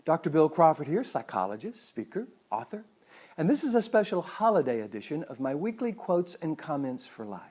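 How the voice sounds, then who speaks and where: Middle-aged man, mellow and very lively intonation. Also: fairly high pitch, a little fast. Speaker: psychologist and speaker hosting a weekly show. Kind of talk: motivational talk to the audience.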